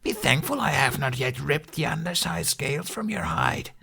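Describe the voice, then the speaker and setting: A man's voice: a little high, expressive, moderate pace, gravelly, pushed and bright, slight background noise, pitched above the performer's own voice, full character voice. A man voicing a haughty, menacing older female dragon with a guttural voice in an audiobook. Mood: menacing, scornful. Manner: archaic, threatening phrasing.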